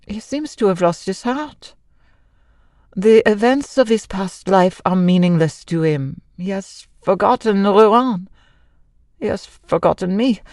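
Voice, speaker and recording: A woman acts out a sorrowful wife of a mad composer in an old-time radio drama. She is a Frenchwoman who speaks very slowly in a mid-range voice, with formal, halting phrasing and a mournful weight. The sound is very dry. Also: medium pitch, animated, darkish tone, dry recording, natural voice.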